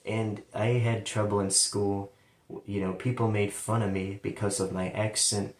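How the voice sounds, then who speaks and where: A man's voice: lowish voice, level tone, measured pace. Man, central Ohio accent, rambling personal story, L slipping toward W, echoey room. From London, Ohio, USA. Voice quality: sometimes turns L into a W sound. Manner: casual, rambling, digressive. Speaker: vlogger telling his life story. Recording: roomy, distant from the mic.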